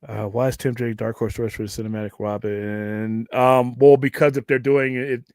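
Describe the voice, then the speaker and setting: An American man with a gruff voice hosts a casual livestream, talking in a rambling, off-the-cuff way and swearing casually. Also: mid-range voice, flat delivery, moderate pace, darkish tone, no echo, studio quality. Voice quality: gruff, self-described Cookie Monster voice. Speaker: host of a pop culture livestream show. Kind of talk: casual livestream chat, question and answer.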